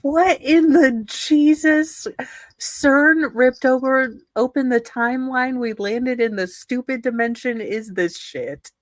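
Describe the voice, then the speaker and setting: A woman reading a court ruling aloud as comedy, haltingly, with exasperated asides. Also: high voice, no room sound. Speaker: reader in a comedy livestream.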